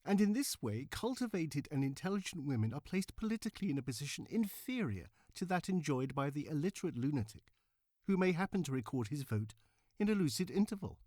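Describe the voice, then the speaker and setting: A man voicing a cultivated, impassioned suffragist woman orator of the 1900s in formal, emphatic, measured speech. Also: a little high, very animated, darkish tone, dry recording, studio quality. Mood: indignant, ironic. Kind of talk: dramatic reading of historical speech.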